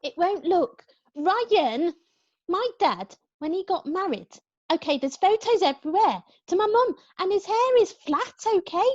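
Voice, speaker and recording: Lady doing a bossy little girl, super high and swooping, dull sound. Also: animated, unhurried, a little mellow. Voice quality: bossy, scolding.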